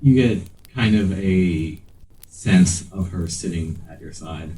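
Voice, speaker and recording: Low-voiced man running a tabletop game, roomy sound. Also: slow pace, mellow. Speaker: game master. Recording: roomy, distant mic with faint noise.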